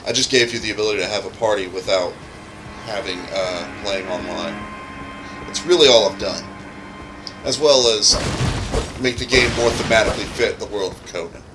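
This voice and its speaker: A man's voice: fairly low pitch, normal speed, long pauses, rough recording. A man giving casual, rambling livestream gaming commentary, with loose, informal and sweary speech. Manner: profane, informal, rambling asides. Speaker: game streamer and modder. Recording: music under the voice. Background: music.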